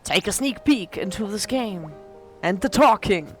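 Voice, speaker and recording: A hoarse woman casually rambling through gameplay commentary as a let's play creator, self-deprecating with many fillers. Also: mid-range voice, lively intonation, a little slow, a bit bright, soft background music.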